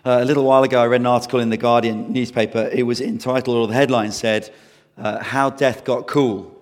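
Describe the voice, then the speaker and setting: Hoarse preacher in a reverberant hall. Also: male voice, medium pitch, fairly even intonation, brisk. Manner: earnest, conversational preaching. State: hoarse, losing his voice. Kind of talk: sermon.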